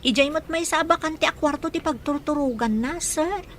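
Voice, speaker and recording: Casting: actress as obedient household maid for audio drama, lightly high pitch, deferential and humble. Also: female voice, normal speed, a little hiss or hum, natural voice. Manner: deferential, polite. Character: meek, obedient young housemaid. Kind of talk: Ilocano radio-style drama.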